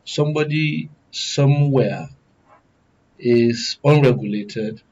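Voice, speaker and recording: A man with a Nigerian accent giving serious panel commentary in a deliberate, emphatic, oratorical way. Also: medium pitch, a little mellow, muffled recording, slight background noise. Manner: deliberate, emphatic, oratorical, repeats phrases for weight. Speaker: guest commentator, good governance advocate.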